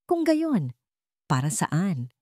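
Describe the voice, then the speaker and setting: A woman's voice: lowish voice, huge pitch swings, drawn-out words, a little mellow, no echo, clean studio sound, heavily acted. A woman voicing an imperious, wealthy female billionaire with a cool, transactional manner in a narrated story. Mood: coolly insistent.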